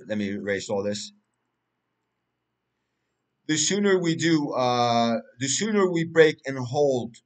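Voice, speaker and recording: Rambling man talking through a crypto chart. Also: mid-range voice. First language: Turkish. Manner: rambling, many fillers and restarts. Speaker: crypto market analyst. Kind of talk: casual chart analysis commentary.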